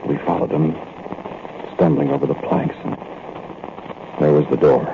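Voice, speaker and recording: An actor playing a nervous thief who narrates a night break-in in first person, in a 1940s radio drama. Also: male voice, extremely low pitch, steady tone, normal speed. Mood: uneasy. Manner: tense, confiding first-person storytelling. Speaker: narrator and lead character. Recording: tinny, noisy old radio recording.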